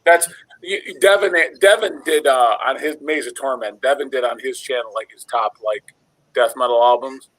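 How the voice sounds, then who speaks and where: A man's voice: mid-range voice, moderate pace, a bit bright, no echo, low-quality recording. A middle-aged man who hosts a channel, chatting casually about music in a rambling, repetitive way. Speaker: metal video channel host. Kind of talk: casual group chat about metal.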